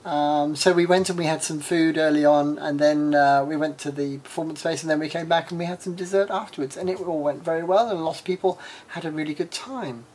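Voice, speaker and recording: A woman in her forties from the UK, with an English accent turned slightly Australian, chatting frankly in a casual vlog and often pausing with ums and uhs. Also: low voice, darkish tone. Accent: English with a slight Australian tinge. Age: 40s. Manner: rambling, many ums and uhs. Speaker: vlogger.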